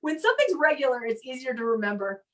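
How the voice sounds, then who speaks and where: A high-pitched woman hosts a casual comedy chat. She talks in an animated, chatty way, and her mic sounds uneven and a bit far off. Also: fast talker. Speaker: comedy show host. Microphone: live video call.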